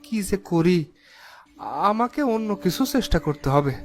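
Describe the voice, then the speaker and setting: A man voices a high-pitched village farmer in a cartoon fable, plaintive and anxious. His words can catch and stumble when he is agitated, with music playing underneath. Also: animated, moderate pace. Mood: wistful, troubled. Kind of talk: cartoon moral story acting.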